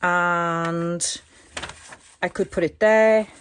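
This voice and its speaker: A woman hosting a crafting tutorial, chatting and thinking aloud as she works, her voice a little croaky today. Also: lowish voice, fairly even intonation, extremely slow, a little hiss or hum. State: slightly croaky voice. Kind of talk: casual craft demonstration.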